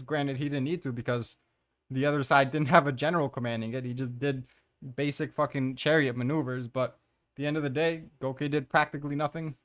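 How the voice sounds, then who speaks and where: A man hosts a casual ranking commentary in a mid-pitched voice. He is blunt and opinionated, ranting with open exasperation and peppering his talk with swearing. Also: fairly bright tone. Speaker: host of a manga ranking commentary.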